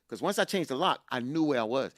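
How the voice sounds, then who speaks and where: A middle-aged man in a relaxed conversation, telling his own story in an animated way and doubling back on key lines to drive them home. His voice sits in an ordinary middle range, recorded very dry and close. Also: medium pitch, fast, no room sound. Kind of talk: casual chat, personal storytelling.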